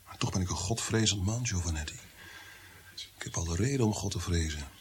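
Man acting a low-voiced, tormented, brooding prince. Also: brisk, slight background noise, lightly acted. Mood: brooding, anguished. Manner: brooding, theatrical, drifting into whispers. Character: tormented guilt-ridden prince-composer, bitter, menacing, fearing God. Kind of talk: radio play acting.

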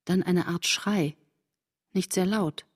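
A woman's voice: lowish voice, takes it slowly, breathy edge. An actress playing a shaken woman writing her diary in a literary radio drama, speaking intensely in terse fragments. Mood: subdued, watchful.

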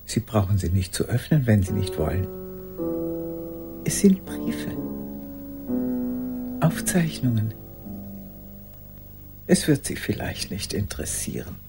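Melancholy old woman, radio play monologue, widely varied pitch, intense halting delivery, music behind. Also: sing-song, exaggerated voice. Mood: pleading, earnest. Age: older. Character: lonely old woman, former schoolteacher, pleading, melancholy.

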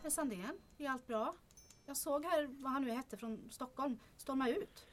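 A woman acting a sharp, concerned female confidante in an audio drama. Her fairly high voice is inquisitive and confiding, with a gossipy, wry edge. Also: over loud music, lightly acted. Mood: concerned, curious.

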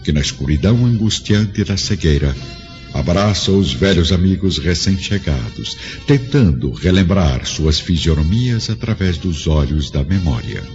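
A man's voice: low voice, expressive, unhurried, a little mellow. A man narrating a radio drama from a script in a solemn, grandiose storytelling manner. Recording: dull lo-fi audio, prominent music behind.

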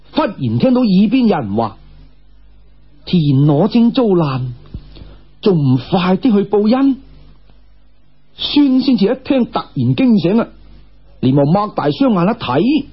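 Husky Cantonese male storyteller, higher-pitched voice. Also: dull audio. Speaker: audiobook storyteller. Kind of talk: traditional Cantonese storytelling narration.

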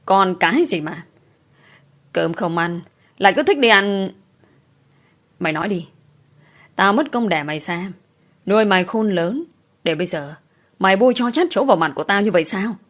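A woman's voice: fairly low pitch, animated, normal speed, pauses often. A woman voicing a furious, strict middle-aged village father who scolds and growls at his daughter. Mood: furious scolding.

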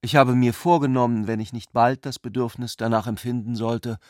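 A male actor playing an ironic, courteous intellectual cousin in a German literary radio drama, speaking eloquently and deliberately. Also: medium pitch, fairly even intonation, no room sound, clean studio sound, lightly acted. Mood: earnestly playful. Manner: eloquent, deliberate, earnestly polite.

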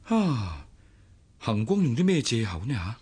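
A man's voice: medium pitch, sing-song, unhurried, darkish tone, breathy edge, heavily acted. An actor in a Cantonese radio drama playing a curious, teasing married man with a Hong Kong accent. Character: curious, teasing married father, brother-in-law.

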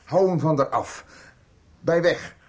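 A man's voice: on the high side, slow pace. A man playing an embittered, defensive schoolteacher in a Dutch radio soap. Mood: agitated, angry. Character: embittered, defensive schoolteacher arguing with his brother. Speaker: radio drama actor.

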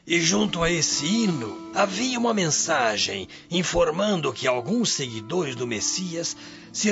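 A humble old sailor telling his life in a radio drama, with a Brazilian accent. The voice is creaky and slow, rising and falling widely with solemn feeling. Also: mid-range voice, fairly bright tone, exaggerated voice. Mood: reverent, moved. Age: older. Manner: solemn, emotive storytelling. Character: weary, humble old Roman sailor recounting his past. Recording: muffled radio drama with music behind.

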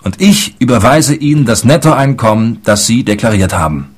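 A man playing a hard-nosed, impatient businessman in a radio crime play, blunt and self-assured, with a husky voice. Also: medium pitch, expressive, normal speed. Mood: brusque. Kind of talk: radio crime drama dialogue.

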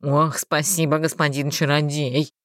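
A woman's voice: sits low, flat delivery, a little slow, no echo, pitched below the performer's own voice. A woman voicing a groveling male werewolf with a nasal, whiny, tearful voice, in a dry recording. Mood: whining. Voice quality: nasal, whining.

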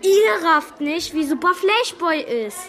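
Excitable schoolboy best friend, high bright voice, slangy, boastful, radio play. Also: female voice, slow pace, exaggerated voice. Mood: cocky, excited. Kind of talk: children's radio play acting.

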